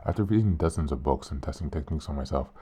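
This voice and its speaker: Very deep-voiced, slightly hoarse man. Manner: calm, earnest, deliberate. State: hoarse, a bit sick. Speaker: podcast host. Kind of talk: reflective podcast reading.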